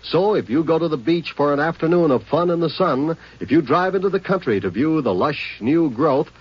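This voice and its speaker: A man's voice: on the high side, expressive, moderate pace, husky. A man announcing a scripted network promotion in a polished, formal, old-time radio style. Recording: muffled vintage radio broadcast recording.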